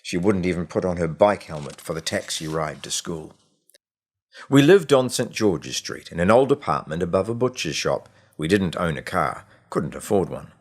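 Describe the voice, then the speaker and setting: Man, deep voice, audiobook storytelling, measured and expressive, deliberate pauses. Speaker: author reading own short story. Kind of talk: scripted audiobook narration.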